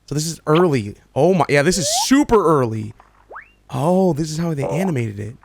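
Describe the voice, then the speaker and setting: A man hosting a reaction video, talking casually to viewers with excited, repeated exclamations. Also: on the high side, normal speed, creaky, slight background noise. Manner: casual, repetitive exclamations, addresses viewers familiarly. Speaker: video host reacting to game clips.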